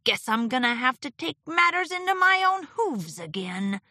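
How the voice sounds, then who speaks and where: A woman's voice: sits high, sing-song, normal speed, sharp tone, no echo, studio quality, voice pushed up, full character voice. A woman voicing an aggrieved male pony businessman, smug and insistent in his grumbling. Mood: muttering.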